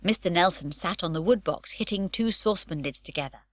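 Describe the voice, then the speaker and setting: A woman reading an unabridged children's audiobook aloud with an expressive storytelling lilt, recorded in a studio. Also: lowish voice, fairly quick, no echo. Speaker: audiobook narrator.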